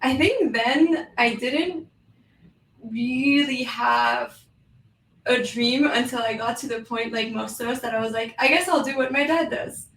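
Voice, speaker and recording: A woman in her thirties with a French accent talks in a loose, chatty way, dropping "um" and "like" often. Her voice sits on the higher side. She is recorded a little away from the mic in a roomy, echoing space. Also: a little slow, a bit bright, distant mic. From New York City, United States. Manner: chatty, with frequent "um" and "like" fillers. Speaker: guest. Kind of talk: casual interview.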